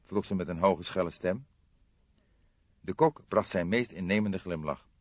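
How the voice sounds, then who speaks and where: Low-voiced man narrating an audiobook. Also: measured pace, slightly rough, dry recording, highs cut off. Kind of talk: scripted literary narration.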